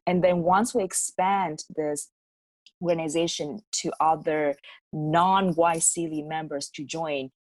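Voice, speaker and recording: A woman's voice: low voice, a little mellow, dry recording, rough recording. A woman student and co-founder explaining how her youth network started, in a casual, explanatory way. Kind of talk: panel conversation.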